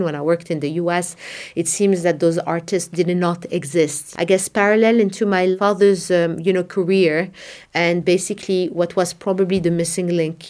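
A woman from Morocco with a lowish voice speaks in an interview about her path. She is middle-aged and reflective, and she often pauses on "you know" and restarts her sentences as she thinks aloud. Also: a little mellow. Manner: thoughtful, many "you know" fillers and restarts. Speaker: art fair founding director. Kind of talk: conversational interview answers.

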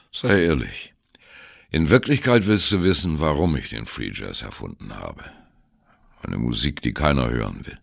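Deep gravelly sardonic jazz storyteller. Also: male voice, full character voice. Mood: challenging, confiding. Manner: confiding, dramatic, wry, addressing the listener directly. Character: sardonic older male jazz musician telling his absurd story. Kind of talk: radio play monologue. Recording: music behind the voice.

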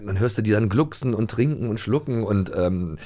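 A middle-aged man with a fairly deep voice talks casually as co-host of an audio drama show. He tells things with enthusiasm, often circling back and repeating himself as he goes. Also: lively intonation, normal speed, warm tone. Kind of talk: casual chat, reviewing audio plays.

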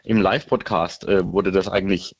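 A man co-hosting a casual podcast, rambling and halting as he circles back mid-sentence. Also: sits low, steady tone, lo-fi sound, a little hiss or hum. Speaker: podcast and radio co-host. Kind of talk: casual unscripted banter.

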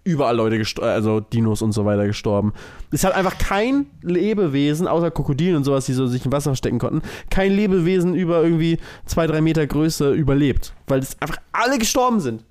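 A man's voice: a little high, lively intonation, quick pace, a little mellow. A man in casual podcast chat as a co-host, explaining and giving opinions in colloquial language.

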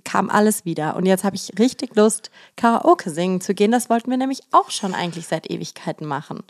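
A hoarse woman co-hosting a podcast, chatting casually and mocking herself with frequent laughs. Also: medium pitch, expressive, brisk. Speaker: podcast co-host. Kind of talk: casual chat.

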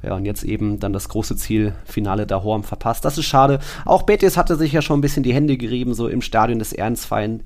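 A man's voice: lowish voice, darkish tone. A man co-hosting a football podcast, recapping matches in a conversational, colloquial way. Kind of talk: casual football recap chat.

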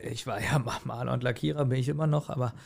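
A man chatting casually as a podcast co-host, telling personal stories in an animated way and often chuckling. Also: medium pitch, fast, creaky. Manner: animated storytelling, frequent chuckling. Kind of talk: casual chat, personal anecdotes.

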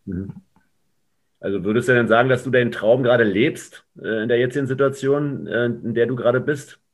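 A man's voice: mid-range voice, brisk, no room sound. A man asking questions casually as a podcast interviewer.

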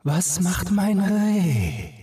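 A man voicing a gentle, sorrowful young queen who returns as a ghost in a fairy tale. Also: high voice, very animated, extremely slow, voice pushed up, heavily acted. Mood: solemn, plaintive.

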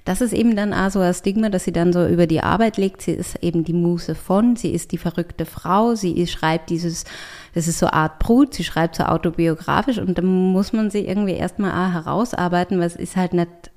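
A woman's voice: lowish voice, normal speed, darkish tone. A woman writer talking in an interview, thoughtful and searching for words, with frequent hesitations. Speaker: writer and artist, interview guest. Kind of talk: reflective conversational interview.

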